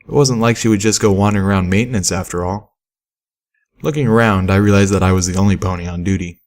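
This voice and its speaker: Narrator, male, lowish register. Scripted first-person fantasy audiobook read. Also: quick pace, darkish tone. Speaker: fan fiction audiobook narrator.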